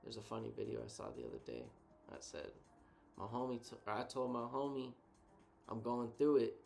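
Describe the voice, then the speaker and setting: A man co-hosting a casual reflective chat, thinking out loud in a laid-back, slangy way. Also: medium pitch, expressive, moderate pace, vocal fry, soft background music. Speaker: co-host.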